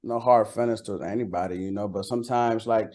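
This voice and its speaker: A man's voice: fairly low pitch, fairly even intonation, fairly quick, a little mellow. A man from Costa Rica, a native Spanish speaker, chatting casually as an interviewed rapper, slangy and repeating words for emphasis.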